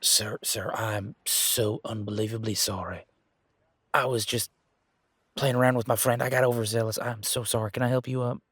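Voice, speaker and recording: A man's voice: mid-range voice, fairly even intonation, normal speed, a bit bright, husky, no room sound, clean studio sound. A man playing a bashful, apologetic young male werewolf with a cowboy drawl, stammering politely in an audio drama. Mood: flustered, apologetic.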